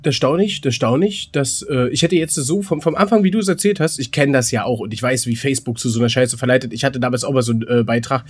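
Fast-talking man in casual banter. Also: mid-range voice, breathy edge. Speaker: podcast co-host.

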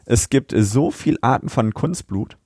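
A man's voice: mid-range voice, lively intonation, measured pace, a little mellow, no room sound, clean studio sound. A man co-hosting a podcast, chatting casually in a review, wry and matter-of-fact. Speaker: podcast co-host.

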